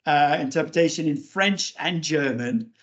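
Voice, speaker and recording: A man's voice: fairly high pitch, moderate pace. A man hosting a podcast interview who asks questions in a hesitant way, with many fillers. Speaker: podcast host. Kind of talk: casual interview. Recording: dull lo-fi audio.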